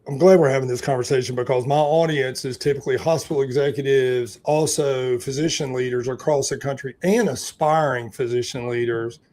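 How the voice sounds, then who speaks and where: A man's voice: medium pitch, darkish tone. A man hosting a leadership interview show, asking long, enthusiastic, rambling questions. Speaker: podcast host.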